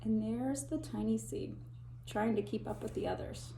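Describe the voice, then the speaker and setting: A woman teacher reading a picture book aloud to children, pausing to point out the pictures and dropping to a whisper or a gasp at tense moments. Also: fairly high pitch, slight background noise, low-quality recording. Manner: animated, gasps and whispers for suspense, pauses to point out pictures. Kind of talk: expressive read-aloud storytime.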